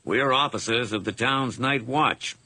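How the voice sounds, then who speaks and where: A night-watch officer in a radio play, courteous and businesslike. His mid-range voice has a bright, sharp edge. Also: mid-range voice, measured pace, dry recording.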